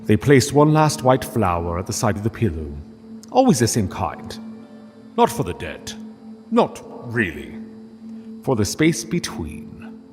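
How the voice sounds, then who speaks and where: A male narrator tells a literary story in a solemn, deliberate way, pausing for drama. His pitch rises and falls expressively, and long reverb trails his words over background music. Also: huge pitch swings, darkish tone.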